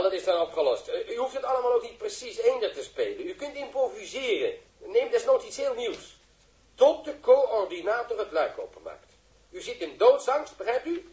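An actor playing a fussy, bossy show presenter in a 1960s Dutch radio drama, pushy and theatrical. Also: male voice, high-pitched, a little mellow. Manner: pushy, theatrical, switches to showy politeness. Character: fussy, bossy, exasperated male publicity show host. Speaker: show presenter directing performers. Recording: roomy old radio drama recording.

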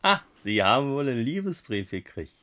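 A man's voice: mid-range voice, very animated, a little fast, fairly bright tone. An actor playing a genial male visitor making easy small talk in a German radio drama.

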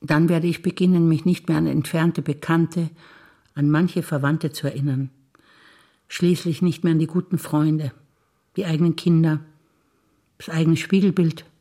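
An older woman, an actress reciting memories in a quiet, measured way on stage. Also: deep voice, fairly even intonation.

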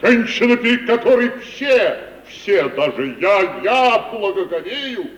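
Pompous, outraged, high-pitched tragedian. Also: male voice, sing-song, heavily acted. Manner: declamatory, theatrical pauses and sudden outbursts. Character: pompous, thundering tragic actor, grandly outraged defender of honour. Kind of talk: 1950s Russian radio theatre comedy acting. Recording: roomy vintage 1950 radio play recording.

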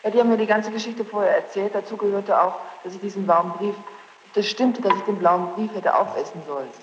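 A muffled archival tape from a large echoing room. A young woman answers as a witness under questioning. She speaks quickly in clipped, repetitive, defensive phrases. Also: mid-range voice, slight background noise.

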